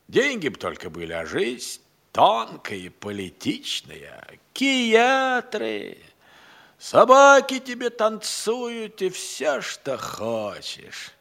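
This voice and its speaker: An actor playing a hungry, idle, crafty manservant in an audio play, grumbling and sighing in folksy, speech. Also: male voice, high-pitched, wildly swooping pitch, takes it slowly, vocal fry, a bit bright, full character voice. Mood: relishing, boastful. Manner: folksy, drawling, sighing, grumbling, relishing his words. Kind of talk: theatrical audio-play monologue.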